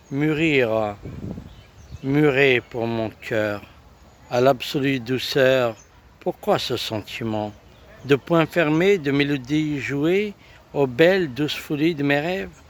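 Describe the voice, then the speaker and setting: Guy reading poems out loud, really slow halting lyrical phrases, faint noise behind him. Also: medium pitch. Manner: solemn, lyrical delivery in short halting phrases. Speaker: poet reciting own poems. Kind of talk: poetry recital.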